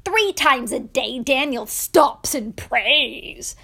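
A jealous Persian schemer from a children's storybook, put on by a woman. The high voice is pointed and insinuating, pressing every accusation with emphasis. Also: animated, slow pace, creaky, bright, forceful tone, slightly rough, heavily acted. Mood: sly, insinuating.

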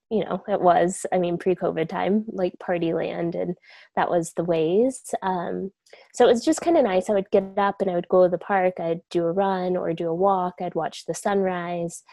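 Low, gravelly-voiced relaxed young woman. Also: no echo. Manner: soft-spoken, laid-back, many likes and you-knows. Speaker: guest. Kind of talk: casual reflective conversation.

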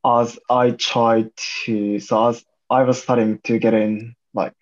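A man's voice: mid-range voice, level tone, slow pace, lo-fi sound. A young man from Japan, an English speaker, chatting casually with many fillers. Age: young adult. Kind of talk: casual chat.

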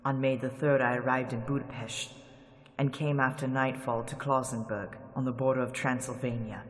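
A man's voice: mid-range voice, moderate pace, long reverb tail, lightly acted. A man playing a young English law clerk who narrates his travel journal in a radio drama, growing uneasy. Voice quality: steady narration that turns to alarmed shouting.

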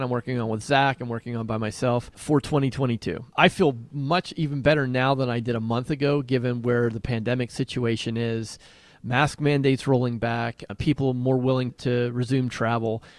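A man's voice: medium pitch, dry recording. Man chatting casually, dry close sound. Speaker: podcast co-host. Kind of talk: casual podcast chat.

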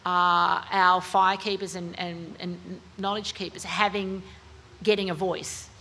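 A woman's voice: fairly low pitch, flat delivery, a little slow, bright, forceful tone, a little hiss or hum, rough recording. An Australian woman author talking about her novel in an interview, chatty and full of fillers and restarts. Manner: chatty, lots of fillers and self-corrections. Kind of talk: interview at a book talk.

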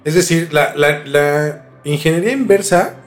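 A man's voice: on the high side, animated, moderate pace. A man hosting a podcast, telling a story conversationally to a co-host and repeating phrases for emphasis. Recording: music bed under the voice.

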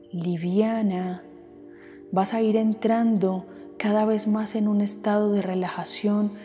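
A woman leading a guided meditation, speaking gently and unhurriedly with pauses, as a soothing guide. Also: mid-range voice. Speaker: meditation guide, podcast host. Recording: long reverb over music bed. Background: background music.

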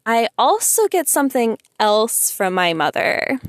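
A woman's voice: fairly high pitch, very animated, unhurried, no room sound, clean studio sound. Sweet-voiced chatty young woman. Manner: chatty, frequent "like" and "you know", emphatic. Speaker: vlogger. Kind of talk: casual venting monologue to listeners.

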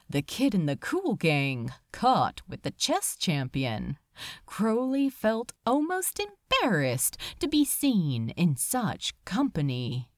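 A woman giving a dramatic, expressive and measured reading of a scripted story. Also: medium pitch, no echo, studio quality. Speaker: amateur audiobook reader.

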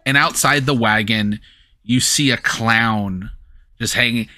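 A man's voice: mid-range voice, slow pace, bright, forceful tone, dry recording, clean studio sound. A man narrating as game master for a tabletop game, in a casual, rambling way full of fillers.

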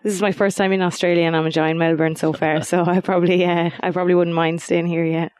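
Brief: woman athlete, smooth monotone voice, modest, stumbling answers. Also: fairly low pitch, quick pace. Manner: hesitant, modest, many restarts. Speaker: interviewee footballer. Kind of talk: casual interview answers.

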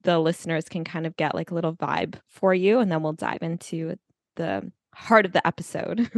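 A woman's voice: on the low side, steady tone, normal speed, gravelly, no room sound, studio quality. A woman hosting a podcast in casual chat, talking freely with lots of fillers and easy laughs. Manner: chatty, laughs easily, many fillers. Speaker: podcast host.

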